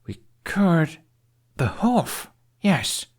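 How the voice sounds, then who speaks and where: Man voicing an eager, high-pitched teenage Viking boy. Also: exaggerated voice. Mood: excited. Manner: animated, halting, thinking aloud. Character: Hiccup, eager nervy teenage Viking boy, stubborn schemer.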